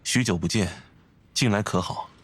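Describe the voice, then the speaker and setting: A man voicing a refined, composed, scholarly gentleman in a video game, speaking formally and courteously with measured phrasing. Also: fairly low pitch, a little hiss or hum, natural voice. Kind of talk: video game character acting.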